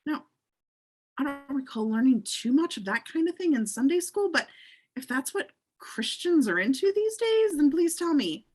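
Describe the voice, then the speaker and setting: A woman's voice: high voice, normal speed. A woman reading a furious young woman confronting the ex who left her, with a put-on Southern accent and plenty of swearing. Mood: angry. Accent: American Southern, put on. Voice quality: husky, swearing, lively delivery. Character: furious young woman, jilted ex-car thief. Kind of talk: amateur script read-through.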